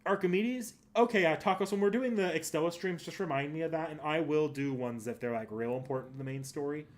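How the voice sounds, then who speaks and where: A man's voice: on the high side, quick pace. A man hosting a casual livestream, chatting with viewers in a rambling way, thinking aloud and often correcting himself. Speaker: streamer and host.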